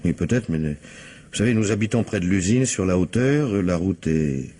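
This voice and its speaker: Middle-aged bourgeois businessman, anxious and hesitant, dark husky tone, radio drama. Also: deep voice, lively intonation, normal speed. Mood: worried, hesitant. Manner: hesitant, stammering, deferential. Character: flustered, anxious, evasive 42-year-old bourgeois businessman husband. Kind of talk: radio mystery drama acting.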